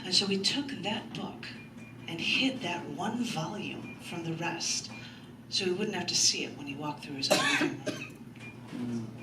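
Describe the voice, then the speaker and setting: A woman at a live poetry open mic, reading and talking informally to listeners in a halting way. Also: lowish voice, huge pitch swings. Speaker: poet hosting an open mic. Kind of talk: live poetry reading with casual asides to the audience. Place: indoors in a large bookstore, live event. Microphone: picked up from a distance. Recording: roomy, distant, noisy live recording.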